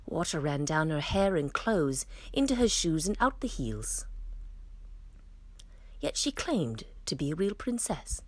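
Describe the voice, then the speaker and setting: A woman narrates a children's fairy tale with very animated intonation. Her voice is husky and breathy, recorded close and dry, and she leans dramatically into key words. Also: low voice, measured pace, dry recording. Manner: dramatic storytelling with emphatic swells. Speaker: storytime reader. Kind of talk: expressive scripted fairy tale read-aloud.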